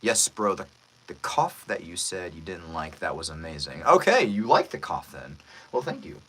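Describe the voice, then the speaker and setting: A man who is a voice actor, chatting casually with livestream viewers in an animated manner. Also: low voice, moderate pace, slightly rough. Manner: animated, reads out viewer comments. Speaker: voice actor, streamer.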